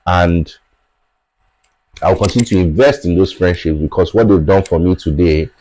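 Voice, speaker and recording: A Nigerian man whose first language is Yoruba, a podcast guest, talking in a measured, thoughtful way and often checking in with "right?". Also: low voice, warm tone, a little hiss or hum, poor audio. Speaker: podcast guest, tech founder. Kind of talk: reflective conversational interview.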